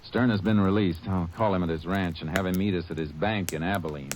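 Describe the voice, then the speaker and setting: An actor playing a steady, sharp-witted Texas Ranger who also narrates, in 1940s radio-drama style, calm and clipped. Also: male voice, sits low, a little fast, very noisy, lightly acted. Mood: determined. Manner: calm, clipped, matter-of-fact. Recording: old radio broadcast with music.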